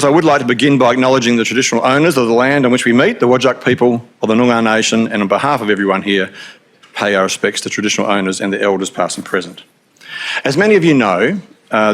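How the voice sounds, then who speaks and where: A middle-aged Australian man opening a live event as its host, speaking in a relaxed way with joking asides and frequent fillers. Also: medium pitch. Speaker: institute director opening an event. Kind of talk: live event welcome speech. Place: event venue with audience.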